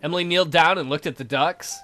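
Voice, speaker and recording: A man's voice: fairly high pitch, fast, bright, forceful tone, soft background music. A playful man reading a visual novel aloud and adding joking commentary as a let's play host. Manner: playful, jokey.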